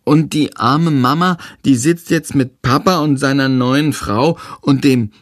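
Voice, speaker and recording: A man's voice: mid-range voice, measured pace, dry recording, studio quality, voice pushed up, natural voice. A man voicing a sulky, whiny little boy in an audiobook. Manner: whiny, pouting. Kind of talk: audiobook character voice.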